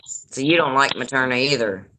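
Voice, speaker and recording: Raspy older Southern woman. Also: normal speed. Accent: Deep Southern US. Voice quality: deep raspy voice. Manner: blunt, profane, gossipy. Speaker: livestream host. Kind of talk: casual livestream chat. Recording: noisy livestream audio.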